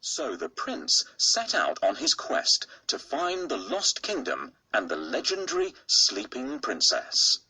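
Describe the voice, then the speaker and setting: A man with a gravelly, husky voice reads a bedtime fairy tale slowly and softly. His tone is bright and sharp, and his pitch moves across a very wide range. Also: poor audio. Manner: slow, soft storytelling. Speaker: narrator. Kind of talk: storybook read-aloud.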